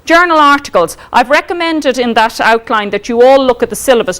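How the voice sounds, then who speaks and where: Loud-voiced Irish woman lecturing. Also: on the high side. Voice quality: loud, carrying voice. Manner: chatty, checks in with frequent "okay?". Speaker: law lecturer. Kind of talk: classroom lecture. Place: lecture room.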